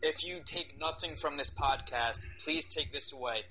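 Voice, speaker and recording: A man's voice: a little high, moderate pace, pushed and bright, faint music behind, rough recording. A man who is a regular on a casual podcast, joking and playfully sarcastic.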